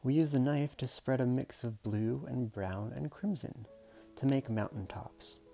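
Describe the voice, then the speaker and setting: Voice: mid-range voice, unhurried, gravelly, warm tone, soft background music. A storyteller reading a picture book aloud in a casual, playful way, with chatty murmured asides.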